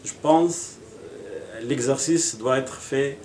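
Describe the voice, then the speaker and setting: Homme d'âge mûr d'origine marocaine, pièce résonnante. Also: male voice, medium pitch, a little mellow. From Morocco. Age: middle-aged. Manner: earnest, measured, with frequent pauses and fillers. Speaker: municipal election candidate. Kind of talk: interview answers. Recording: echoey room.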